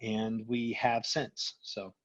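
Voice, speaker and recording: A man's voice: fairly low pitch, little pitch movement, slow, fairly bright tone. Middle-aged man, slightly dull lo-fi recording. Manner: conversational, peppers talk with "you know" and "right?". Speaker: company executive, interview guest. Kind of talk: casual interview conversation.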